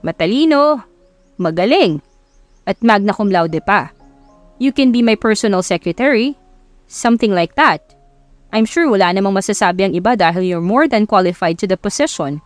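A woman's voice: mid-range voice, expressive, moderate pace, no echo, soft background music, close to an everyday voice. Woman acting confident male boss, close dry sound. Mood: smooth, persuasive. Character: confident, commanding male company boss, smitten single father. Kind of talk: romance audiobook character acting.

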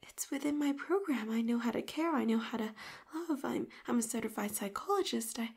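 A woman voicing an obsessive, clingy female robot companion in an audio roleplay, talking breathy and hushed into a close mic, swinging from pleading to eerily calm control. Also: a little high, exaggerated voice. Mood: devoted, hushed, then desperate pleading. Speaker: voice actress.

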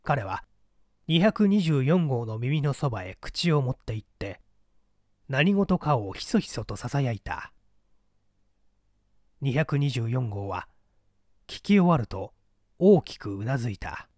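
A man reading literary fiction as an audiobook narrator, measured and subdued. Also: mid-range voice, big pitch swings, darkish tone, no room sound, clean studio sound. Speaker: audiobook reader.

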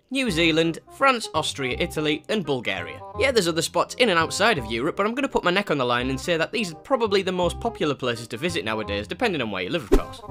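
A man's voice: a little high, a bit bright. A young man from northeast England with a northeast English accent, narrating a travel vlog voice-over with cheeky, jokey asides. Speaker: travel vlogger. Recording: voice-over with clear music bed.

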